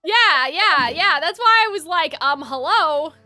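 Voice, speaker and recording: A woman live-streaming a video game, reacting and chatting casually in an excitable, exclamatory way and often repeating herself. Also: very high-pitched, measured pace. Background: game music.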